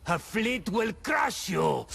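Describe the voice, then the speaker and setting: A man's voice: high-pitched, very animated, very slow, gravelly, shouting, heavily acted. A man playing a gloating, defiant villain's henchman in a video game cutscene. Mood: gloating menace.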